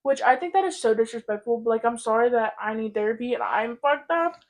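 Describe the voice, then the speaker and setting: A teenage girl with a fairly high voice talks as a podcast co-host. Her delivery is casual, candid and informal, with "like" scattered through her sentences. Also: animated, a little fast. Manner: candid, informal, heavy use of "like".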